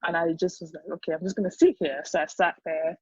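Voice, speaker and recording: A woman's voice: on the low side, quick pace, husky, no echo, lo-fi sound. A young woman from Nigeria with a Yoruba Nigerian accent, a podcast guest telling a story casually with lots of fillers. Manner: animated storyteller, many fillers, laughs often. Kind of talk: casual chat.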